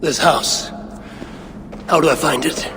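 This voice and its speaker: A man playing a proud, commanding Norse warrior god in a video game, with gravelly, solemn and imperious speech. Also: fairly high pitch, steady tone, slow. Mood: determined. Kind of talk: dramatic video game acting. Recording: reverberant, noisy game cutscene ambience.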